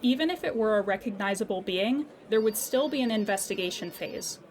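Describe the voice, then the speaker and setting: A woman's voice: mid-range voice, animated, brisk, natural voice. A woman playing a polite, unflappable customer service agent in an audio drama, speaking formally and patiently. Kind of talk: audio drama phone dialogue.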